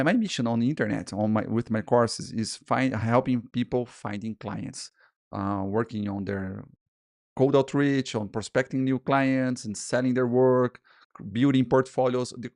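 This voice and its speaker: Halting non-native man in his forties. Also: mid-range voice, darkish tone, no room sound, studio quality. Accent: Portuguese-speaking. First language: Portuguese. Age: 40s. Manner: halting, many restarts and repeated words, frequent "okay?" and "guys". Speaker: freelance illustrator and podcast host. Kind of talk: casual solo talk to camera.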